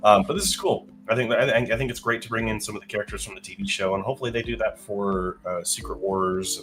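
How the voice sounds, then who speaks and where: A man's voice: fairly low pitch, fast, over music. A young man with a congested, sinus-clogged voice, casually chatting movie news as a podcast co-host with lots of fillers and restarts. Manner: rambling, many fillers and restarts.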